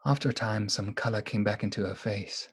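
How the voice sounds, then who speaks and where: A man acting in a radio play as an earnest, anxious doctor devoted to the woman he loves, with a halting, emotionally open delivery. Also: lowish voice, breathy edge. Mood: weary narration.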